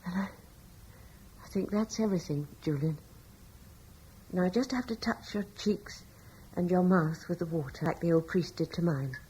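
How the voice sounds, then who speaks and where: An actress playing a devout, gentle young wife in a radio drama, speaking in an earnest, tender and slightly hesitant way. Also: female voice, on the low side, normal speed, long pauses, slight background noise, lightly acted, rough recording. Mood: gentle reassurance. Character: devout, gentle young wife in ancient times, calmly reassuring.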